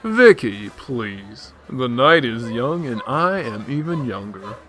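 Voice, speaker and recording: A man's voice: mid-range voice, very animated, takes it slowly, fairly bright tone. A man playing a rich playboy who is secretly a brooding vigilante in a radio drama. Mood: flippant charm.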